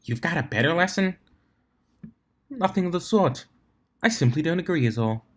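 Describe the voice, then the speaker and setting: A man's voice: fairly high pitch, animated, moderate pace, noisy background, voice pushed up, close to an everyday voice. A man voicing a bookish, contrary young female unicorn in a storybook reading, with a pedantic, lecturing manner.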